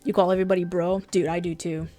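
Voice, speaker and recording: Laid-back deep-voiced woman chatting. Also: flat delivery, fast talker, dark tone, faint music behind. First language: Japanese. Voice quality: fairly deep voice. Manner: laid-back, blunt, swears freely. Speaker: streamer and artist. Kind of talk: casual livestream chat while drawing.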